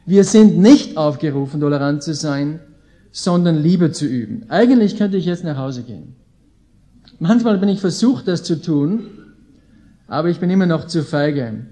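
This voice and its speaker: Austrian man, late thirties, high-pitched voice, mellow tone, informal preacher, folksy, emphatic delivery. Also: animated, moderate pace. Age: late 30s. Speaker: visiting preacher, Bible school leader. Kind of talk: sermon to a live audience. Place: indoor gathering with an audience.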